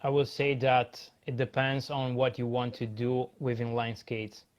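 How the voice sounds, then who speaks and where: Guy answering slowly, mid pitch, thinking out loud with lots of um and uh. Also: little pitch movement. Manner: measured, hesitant, with fillers. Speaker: podcast guest and skater. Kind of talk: interview answers.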